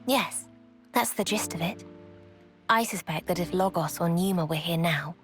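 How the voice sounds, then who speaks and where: A woman acting a solemn, otherworldly young woman, the calm conscience of a godlike being, in a video game cutscene. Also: on the low side, normal speed, faint music behind, natural voice. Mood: calm, grave.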